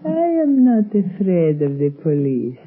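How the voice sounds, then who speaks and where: An actress playing a sly dancer and smuggler, husky, formal and measured, in a 1930s radio drama. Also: female voice, on the low side, very animated. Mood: cool, scheming. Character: sly, exotic Indian female dancer and smuggler, veiled menace. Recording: muffled 1930s radio recording, faint music.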